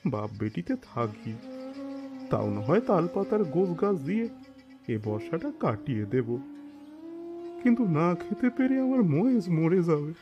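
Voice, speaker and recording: A sick, poor peasant father with a rural Bengali accent. He speaks very slowly in a rather high, plaintive voice, meek and halting as he pleads. It is an audio drama with music behind. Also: sing-song, muffled recording. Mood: anguished pleading. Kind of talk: audio story drama acting.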